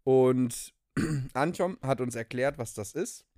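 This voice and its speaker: A man's voice: mid-range voice, takes it slowly, dark tone, dry recording, clean studio sound. A young man co-hosting a podcast, telling stories casually and enthusiastically with slangy English phrases mixed in. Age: young adult. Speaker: podcast co-host. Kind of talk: casual conversational storytelling.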